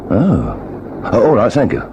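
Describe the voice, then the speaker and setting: An easygoing tradesman boss in an old radio play. His deep voice rises and falls freely as he answers frankly and casually, with faint noise behind. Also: wildly swooping pitch, normal speed. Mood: frank, obliging. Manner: casual, matter-of-fact. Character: easygoing male electrical shop boss, frank and obliging. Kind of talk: vintage radio drama acting.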